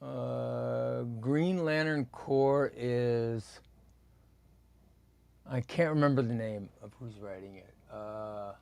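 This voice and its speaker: A man's voice: mid-range voice, slow pace, creaky, a little mellow, clear, resonant tone. A man in his fifties hosting a comics livestream, chatting casually as he reads viewer comments aloud, rambling and repeating his phrases. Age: 50s. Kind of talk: casual chat reading live comments.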